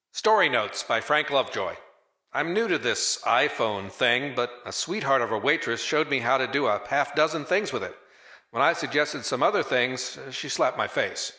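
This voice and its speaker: A man's voice: mid-range voice, fairly bright tone, natural voice. A man playing a wisecracking, old-fashioned newspaper reporter in a radio drama, narrating with hard-boiled, slangy wit. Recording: roomy echo, faint music behind.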